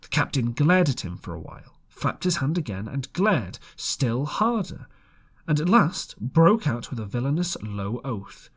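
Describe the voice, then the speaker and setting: Husky man narrating with lively swings. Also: mid-range voice, a little slow. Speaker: audiobook reader. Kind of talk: expressive amateur storybook narration.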